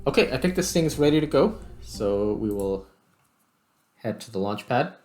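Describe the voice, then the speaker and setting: A man's voice: lively intonation, normal speed, a little mellow, soft background music. A man giving casual, unscripted gameplay commentary, thinking aloud and trailing off mid-thought as he plays. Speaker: host, gamer playing a space flight simulator. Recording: clear rumbling noise behind voice.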